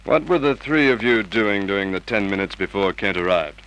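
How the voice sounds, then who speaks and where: Gruff male police sergeant, low-pitched, brusque interrogator, vintage radio crime drama. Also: animated, normal speed, a bit bright. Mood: brisk, probing. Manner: curt, questioning, commanding. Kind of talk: Golden Age radio drama acting. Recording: noisy old radio drama recording.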